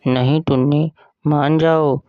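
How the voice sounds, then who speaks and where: A man's voice: on the high side, little pitch movement, slow, no room sound, muffled recording. A man playing a timid husband bird in a cartoon, anxious and pleading. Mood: worried pleading. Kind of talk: cartoon voice acting.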